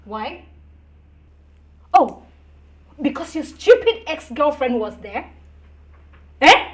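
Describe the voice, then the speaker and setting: A woman's voice: sits high, very animated, takes it slowly, full character voice. An actress playing a haughty, jealous, hot-tempered young girlfriend in a screen drama, indignant and confrontational, with a Nigerian accent. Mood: jealous, sulky.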